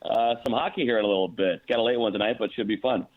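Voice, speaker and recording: A man's voice: fairly low pitch, fast. Man on a tinny phone line. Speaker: hockey radio play-by-play announcer, guest. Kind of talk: casual interview chat. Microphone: phone call. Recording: thin, narrow-band phone line.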